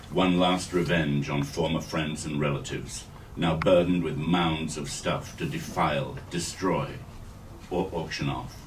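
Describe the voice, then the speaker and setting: A man reading a literary monologue aloud at a bookstore event, deliberate and rhetorical, pausing between phrases. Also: very deep voice. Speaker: reader at a staged literary reading. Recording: distant mic, faint noise.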